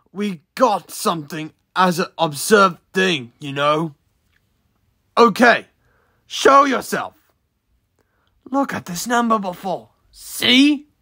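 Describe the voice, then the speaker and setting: A woman's voice: medium pitch, fairly bright tone, full character voice. A woman amateur voice actor playing an earnest, dramatic, easily startled cartoon character. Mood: insistent. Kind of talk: performed character voice lines.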